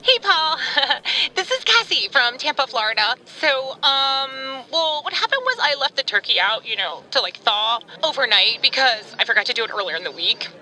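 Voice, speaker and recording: A woman's voice: high-pitched, sing-song, moderate pace, few pauses, sharp tone, heavily acted, poor audio. A woman playing a ditzy young caller from Florida who rambles through her problem. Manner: airy, rambling.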